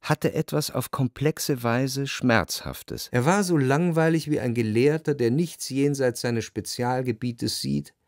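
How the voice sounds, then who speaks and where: A man's voice: mid-range voice, a little slow, hardly any pauses, darkish tone, no room sound, lightly acted. Man as dry-recorded reflective literary narrator. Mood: analytical. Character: reflective first-person male narrator, refined, observant gentleman. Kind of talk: literary scripted narration in a radio play.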